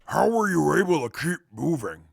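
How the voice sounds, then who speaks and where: Man voicing huge male mutant intellectual, extremely gravelly, pompous, formal, dry sound, post-apocalyptic audio drama. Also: fairly high pitch, big pitch swings, normal speed, no room sound, heavily acted. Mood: grave, curious.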